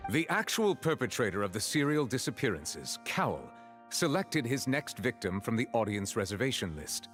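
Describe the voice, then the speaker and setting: An actor voicing a stern, formal chief justice who lays out a case in a video game. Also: male voice, medium pitch, natural voice. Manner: measured, formal, deliberate.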